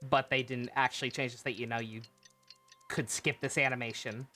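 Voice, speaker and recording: A woman's voice: extremely low pitch, fairly even intonation, brisk, bright, forceful tone. A woman streaming a video game with casual, exasperated, sweary commentary.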